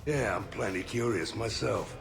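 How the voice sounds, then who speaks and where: Grizzled game mercenary: sardonic, croaky, deepish, music underneath. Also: male voice, moderate pace. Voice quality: gravelly. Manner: blunt, sardonic. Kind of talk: video game character acting.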